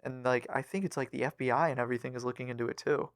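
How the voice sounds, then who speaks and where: A man's voice: medium pitch, fairly even intonation, very fast, dry recording, studio quality. A man co-hosting a casual gaming podcast, chiming in with informal, joking banter. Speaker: podcast co-host.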